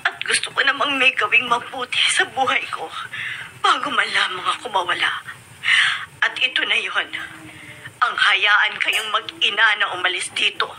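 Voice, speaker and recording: A woman playing a weary, self-sacrificing older housekeeper in a Filipino radio drama, speaking gravely. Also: medium pitch, moderate pace, bright, forceful tone. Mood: resigned urgency. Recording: noisy radio drama recording.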